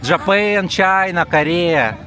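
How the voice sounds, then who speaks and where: A man with a Russian accent, chatting in halting English with a stranger as he films on a handheld camera at an outdoor festival. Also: high-pitched, expressive. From Far East Russia. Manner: halting non-native English, curious questions, friendly laughs. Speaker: travel vlogger. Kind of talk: casual street interview. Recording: outdoor crowd and music noise. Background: loud crowd and music.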